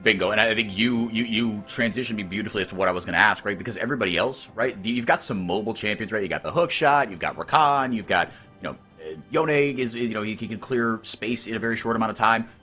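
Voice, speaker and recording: A man calling esports play-by-play, excitable and rising sharply when fights break out. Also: fairly low pitch, fast, few pauses, soft background music.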